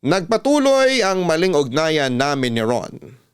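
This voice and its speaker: Smooth-voiced Filipino man narrating. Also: on the high side, sing-song, unhurried. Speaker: radio host reading a listener's letter. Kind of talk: dramatic first-person story narration.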